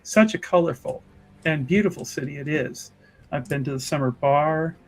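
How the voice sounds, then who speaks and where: A man voicing a polite, effusive woman who serves as a church official, speaking in a halting, genteel way. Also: on the high side, close to an everyday voice.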